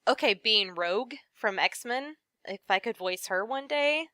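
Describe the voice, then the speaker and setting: Bright, smooth-voiced young woman. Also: a little high, animated, a little slow, no echo, studio quality. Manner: chatty, laughs often, trails off. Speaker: voice actress, guest. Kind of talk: casual interview chat.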